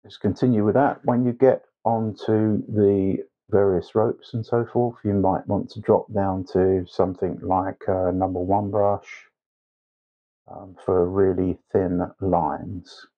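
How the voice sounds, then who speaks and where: A man narrating a painting tutorial step by step as a tutor, unhurried and with hesitant pauses. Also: low-pitched, fairly even intonation, highs cut off. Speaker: watercolour tutor.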